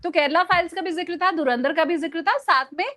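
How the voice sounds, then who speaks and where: A woman's voice: extremely high pitch, fast, sharp tone. A woman speaks as a journalist in discussion. She explains her points emphatically in a conversational commentary style, often repeating phrases to stress them. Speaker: journalist guest on a discussion show.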